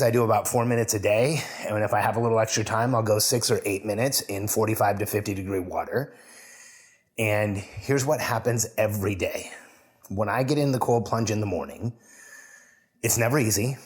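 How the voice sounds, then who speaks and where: Low-voiced man telling a personal story. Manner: earnest storytelling, slows down for emphasis. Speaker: podcast host. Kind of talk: reflective solo podcast monologue.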